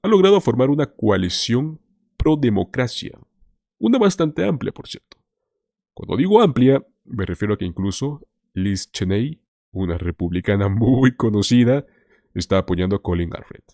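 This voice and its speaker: A man's voice: medium pitch, sing-song, moderate pace, dark tone, dry recording, studio quality. A man hosting a political commentary show, talking to viewers in an emphatic, scripted way. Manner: emphatic, addresses viewers as "señores".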